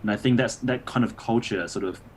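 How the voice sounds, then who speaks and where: A man born in Malaysia, a doctor guest on a podcast, explaining things in a measured way. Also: medium pitch, a little hiss or hum. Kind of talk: casual conversational interview.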